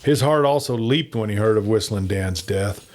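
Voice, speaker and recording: A man's voice: lowish voice, moderate pace. Man narrating a western audiobook. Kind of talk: scripted dramatic story narration.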